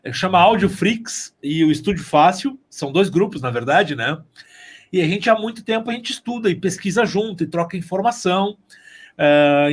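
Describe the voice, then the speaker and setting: Animated man with a high-pitched voice. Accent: southern Brazilian. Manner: animated storyteller, acting out quoted remarks. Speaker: sound engineer and mixer on an audio drama. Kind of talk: casual interview talk.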